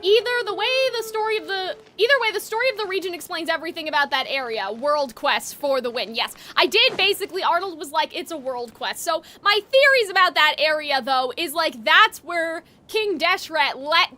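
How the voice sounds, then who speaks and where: A woman's voice: very high voice, expressive, a little fast, few pauses, sharp tone. A woman chatting casually to viewers on a live game stream, informal and exclamatory, swearing freely. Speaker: video game streamer, host. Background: music.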